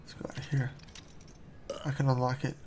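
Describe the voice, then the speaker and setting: A man's voice: medium pitch, monotone, a little fast, a little mellow, slight background noise. A man giving casual gameplay commentary with offhand, joking asides. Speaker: gamer.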